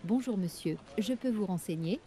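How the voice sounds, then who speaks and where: A woman reading everyday French dialogues for learners, with clear, careful scripted delivery. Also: medium pitch, lively intonation, normal speed, mellow, close to an everyday voice.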